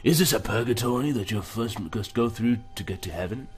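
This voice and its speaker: A man's voice: on the low side, expressive, fairly quick. A man hosting a let's play, reading game narration aloud and adding casual, joking commentary. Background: music.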